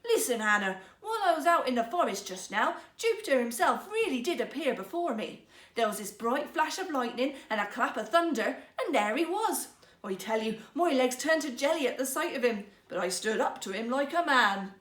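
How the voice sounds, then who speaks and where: A woman's voice: sits high, big pitch swings, normal speed, fairly bright tone. A woman voicing a poor woodcutter husband in a fairytale, earnest, emphatic, teasing and easily riled. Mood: earnest, excited. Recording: roomy, echoing sound.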